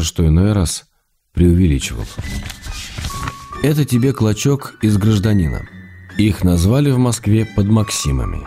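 A man's voice: deep voice, dark tone. An actor playing an ailing middle-aged writer, an ironic and tender husband reading his letters in a radio drama. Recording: music playing behind the voice.

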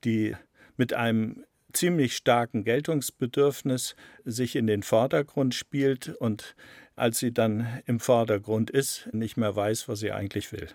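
A man giving explanatory interview answers in a deliberate, halting way, searching for exact words. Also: mid-range voice, a little mellow, no room sound, clean studio sound. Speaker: interviewed radio drama author.

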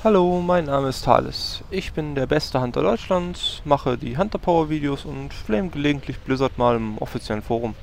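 A man's voice: on the high side, expressive, normal speed, creaky, hardly any pauses, darkish tone, a little hiss or hum. A man playing an arrogant, boastful male hunter who demands pay and perks, in a parody audio drama. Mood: smug. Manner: smug, demanding.

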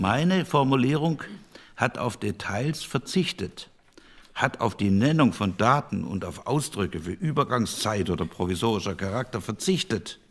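Sceptical statesman, low voice, dry, deliberate, opinionated, radio play. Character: dry, sceptical liberal male elder statesman in committee. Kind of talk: radio drama re-enactment of a parliamentary debate.

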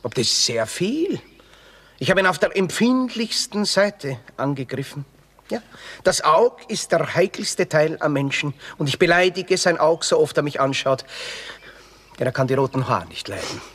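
An actor playing a witty, bitter young drifter in a Viennese stage comedy for radio, with an Austrian accent and pompous wordplay. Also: male voice, fairly high pitch, very animated, moderate pace, a bit bright, slightly rough, heavily acted. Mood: mock-learned, bitter. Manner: florid, pompous wordplay with dry asides. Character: witty, bitter red-haired young drifter, grandiloquent and sardonic.

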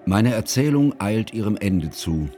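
A man's voice: on the low side, expressive, measured pace. A man playing a grave, bookish, sorrowful older chronicler, narrating formally and solemnly in a literary radio play.

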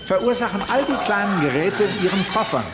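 High-pitched, officious reception guide. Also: male voice, lively intonation, fast. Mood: officious, polite. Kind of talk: announcing in radio drama.

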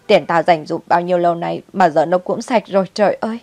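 A woman's voice: low-pitched, fast talker, dry recording. Woman voicing a furious wailing old grandmother. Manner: scolding, shouting, wailing. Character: angry old grandmother, bitter, wailing over stolen money.

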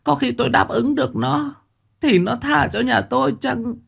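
A male storyteller voicing a desperate, weeping old grandmother who pleads in a high, wailing voice. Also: moderate pace, creaky, fairly bright tone, husky, exaggerated voice. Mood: pleading.

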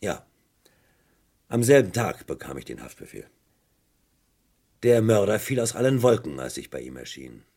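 Brooding police inspector, radio crime drama, gravelly, deep-ish, emphatic, insistent. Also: male voice, sing-song, moderate pace, pauses often, warm tone, exaggerated voice. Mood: grim triumph. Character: dogged British police detective, brooding and insistent.

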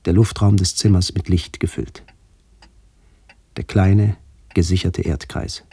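A somber narrator of a literary radio play. His voice is deep and husky, recorded very dry and close. He describes things in a measured, solemn way, as if recalling family. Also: steady tone, mellow, dry recording, exaggerated voice. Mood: somber, contemplative. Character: somber male first-person narrator remembering his aged aunts.

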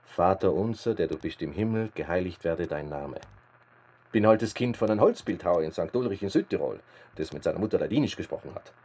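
An actor playing a proud mountain guide turned film actor, rough and forceful, in a radio drama monologue. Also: male voice, low-pitched, animated, fairly quick. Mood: solemn, proud.

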